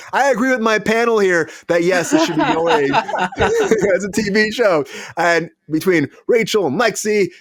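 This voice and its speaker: A man hosting a casual online panel show from a home studio, easygoing and quick to laugh. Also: high-pitched, lively intonation, no room sound. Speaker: host.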